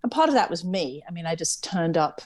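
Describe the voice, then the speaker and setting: A woman's voice: fairly low pitch, sing-song, a little fast, darkish tone. English woman, kinda mid-atlantic accent, middle-aged, chatty and reflective, interview guest. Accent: English, with some American influence. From England. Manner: chatty, reflective, laughs lightly at herself. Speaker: interview guest, camp CEO. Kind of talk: conversational interview.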